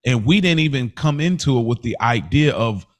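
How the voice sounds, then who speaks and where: Emphatic male podcast co-host, medium pitch. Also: lively intonation, normal speed. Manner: emphatic, repetitive, slangy. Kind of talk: casual conversational podcast chat.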